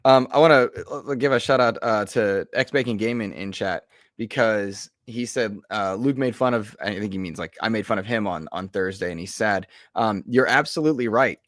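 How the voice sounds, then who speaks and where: Animated man in a dry podcast mic. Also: fairly low pitch, normal speed, no echo. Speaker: podcast co-host. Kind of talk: casual gaming podcast chat.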